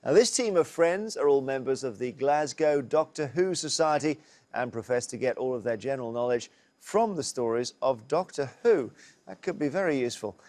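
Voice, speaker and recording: A man's voice: mid-range voice, animated, normal speed, a little mellow. A middle-aged man hosting a TV quiz show in a studio, chatty and jokey. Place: TV studio set.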